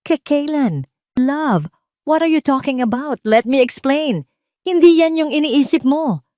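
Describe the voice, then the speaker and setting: A woman storyteller puts on a young, conniving fiancée in an audio love drama. Her voice sits high and is intense, slipping into English as she pleads or threatens. The recording is very dry and close. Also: expressive, unhurried, a little mellow, dry recording. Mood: panicked pleading. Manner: mixes Tagalog with English.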